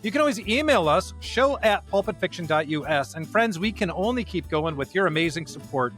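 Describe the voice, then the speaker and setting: A man's voice: high-pitched, lively intonation, fairly quick, a bit bright, soft background music. A man co-hosting a religious podcast in an earnest, emphatic conversational way, often checking for agreement. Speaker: podcast co-host.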